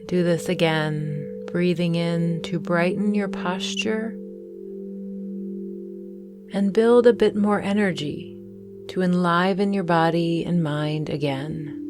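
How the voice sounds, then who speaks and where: A woman guiding a mindfulness meditation in a calm, soothing, measured way, leaving long pauses between phrases. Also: lowish voice, music in the background. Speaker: meditation guide. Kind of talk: guided mindfulness meditation narration.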